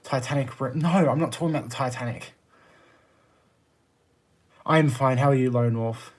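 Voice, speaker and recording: A man's voice: medium pitch, moderate pace. A man with an Australian accent chatting casually on a livestream, answering viewer comments in a blunt, exasperated, emphatic way. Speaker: live streamer.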